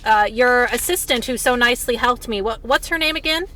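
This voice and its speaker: A woman working as a television reporter, asking polite, somewhat halting questions in a phone interview. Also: on the high side, sharp tone, slight background noise.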